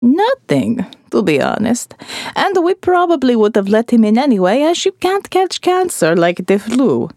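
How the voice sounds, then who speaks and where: A creepy middle-aged male doctor played by a woman explains things bluntly and clinically in an audiobook. The voice is mellow and swings widely in pitch, recorded very dry. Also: big pitch swings, normal speed, no room sound. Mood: detached. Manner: clinical, matter-of-fact, detached. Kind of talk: audiobook character dialogue.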